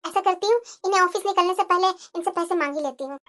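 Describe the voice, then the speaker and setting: A woman's voice: very high-pitched, fast, a bit bright. A woman playing a hurt, dutiful young housewife and mother in a moral-story cartoon, plaintive and questioning. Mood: brooding.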